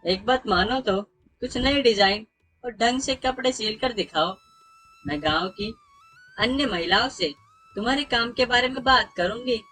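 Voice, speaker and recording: A performer voicing a helpful, enthusiastic village neighbour auntie giving brisk advice in a moral story. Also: medium pitch, sharp tone, soft background music, voice pushed up, rough recording.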